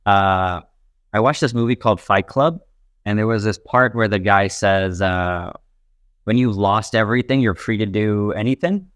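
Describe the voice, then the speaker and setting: A man's voice: on the low side, moderate pace, a bit bright, clean tone, no echo, clean studio sound. A young man from Pakistan, a stand-up comic guest chatting casually and rambling with lots of fillers. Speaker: podcast guest, stand-up comedian. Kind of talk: casual chat.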